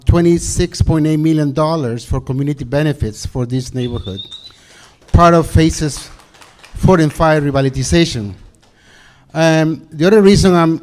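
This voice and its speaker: A middle-aged man from Peru, giving a hesitant campaign speech full of pauses and fillers at a community meeting. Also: fairly high pitch, darkish tone. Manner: hesitant, many fillers and restarts. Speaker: council candidate. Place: community hall.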